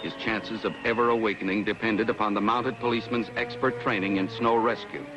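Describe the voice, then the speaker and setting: A man giving grave, measured dramatic narration for a 1950s television adventure. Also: fairly low pitch, fairly even intonation, close to an everyday voice, rough recording. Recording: vintage TV soundtrack with prominent music.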